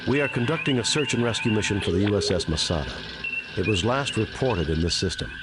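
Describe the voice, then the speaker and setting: A man's voice: on the low side, animated, quick pace, over loud music, lightly acted. A man playing a commanding starship captain in a sci-fi game, formal and assertive. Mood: firm, authoritative. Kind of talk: acted sci-fi game dialogue.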